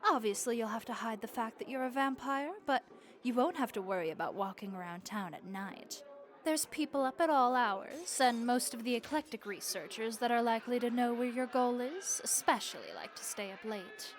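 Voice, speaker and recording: A woman's voice: fairly high pitch, animated, normal speed, natural voice. A woman playing a friendly, worldly vampire innkeeper in a comforting audio roleplay, chatty and encouraging with a wry, folksy way of talking. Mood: helpful, easygoing.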